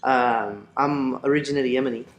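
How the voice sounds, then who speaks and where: A young man who grew up in Saudi Arabia, a photographer talking as a podcast guest in a relaxed, chatty way full of restarts and slang. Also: medium pitch, brisk, echoey room, lo-fi sound, a little hiss or hum. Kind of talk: casual podcast chat.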